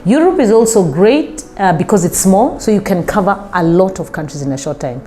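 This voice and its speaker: A middle-aged Kenyan woman, a travel coach, talking casually in an interview with thoughtful pauses. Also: mid-range voice, expressive, dark tone, slight background noise. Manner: thoughtful, with many pauses and fillers.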